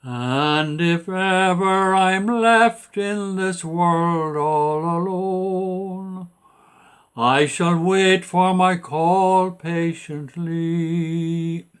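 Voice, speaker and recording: A man with an Irish accent singing old ballads in a slow, sustained style. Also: high voice, smooth. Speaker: singer.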